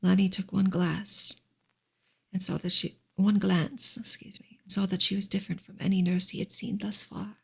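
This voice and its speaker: A woman reads a novel aloud in a soft ASMR style. Her voice is breathy and husky with a creaky fry at the edges. The delivery is gentle and measured, staying on an even, narrow line of pitch. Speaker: ASMR reader and livestream host. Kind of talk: soft ASMR audiobook reading of a classic novel.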